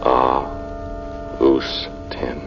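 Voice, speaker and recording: An actor playing a haunted, brooding scientist in a 1940s radio horror drama, speaking in a dramatic, halting way. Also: male voice, extremely low pitch, completely flat delivery, creaky, full character voice. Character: haunted male scientist recounting a terrifying tomb ordeal. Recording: dull vintage radio recording with music behind.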